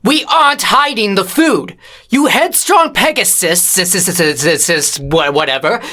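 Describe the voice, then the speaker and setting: A woman's voice: mid-range voice, animated, measured pace, pushed and bright. A woman voicing a silly, defiant earth pony chancellor with a sarcastic, quirky manner in an audio drama. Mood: indignant.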